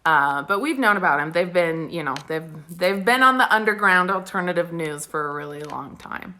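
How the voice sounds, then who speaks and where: Italian-raised woman in her 40s, coachy live stream chat, lots of um and like, bright tone. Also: on the low side, expressive, normal speed. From Italy. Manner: casual, chatty, many fillers and frequent laughs. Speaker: spiritual coach. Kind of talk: live stream talk to viewers.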